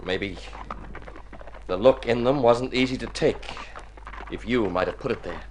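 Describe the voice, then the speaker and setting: A man's voice: medium pitch, unhurried, darkish tone, close to an everyday voice. A man playing an uneasy young Texan cowboy in a radio drama, plain-spoken and hesitant. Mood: rueful, hesitant. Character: uneasy young Texan cowpuncher posing as heir. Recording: noisy old radio drama recording.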